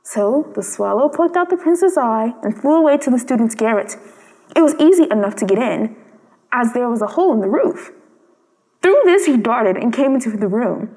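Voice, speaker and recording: A woman narrates a storybook in a high voice. She reads from a script as a storyteller. The recording carries a long reverb. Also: lively intonation, moderate pace, warm tone.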